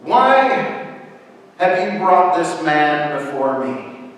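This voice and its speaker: A man's voice: medium pitch, expressive, takes it slowly. A man playing a scornful, commanding Roman governor, declaiming theatrically in the telling of a Bible story. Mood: scornful, incredulous. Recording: echoey large hall, distant mic, noisy.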